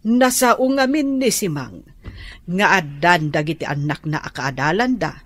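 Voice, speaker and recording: A woman's voice: on the low side, animated, unhurried, no echo, studio quality. An actress playing a concerned older village woman who questions what she hears. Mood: doubtful. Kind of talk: radio drama acting.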